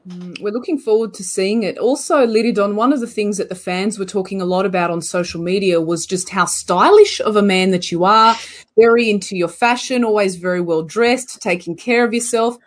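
A woman's voice: mid-range voice, fairly quick. A woman hosting a broadcast interview, reading fan questions in an upbeat, playful manner. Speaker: interview host.

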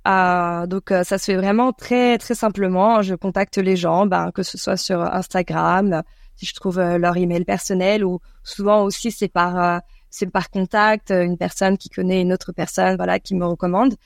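A woman from France with a French accent, answering interview questions conversationally and thoughtfully, often pausing mid-sentence. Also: lowish voice, steady tone, gravelly, dry recording, studio quality. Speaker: podcast creator being interviewed.

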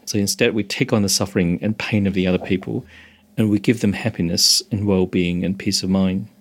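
Low-voiced meditation teacher from Hong Kong. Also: male voice, fairly even intonation, fairly quick. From Hong Kong, moved to Australia as a teenager. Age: 50s or older. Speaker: meditation instructor, interview guest. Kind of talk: explanatory interview talk.

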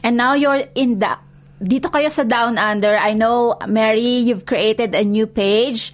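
A woman host leads a relaxed interview, slipping back and forth between Tagalog and English. Her voice sits slightly high and she keeps things moving with quick "mm" and "yeah" replies. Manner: mixes Tagalog and English, friendly prompting. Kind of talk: casual bilingual interview.

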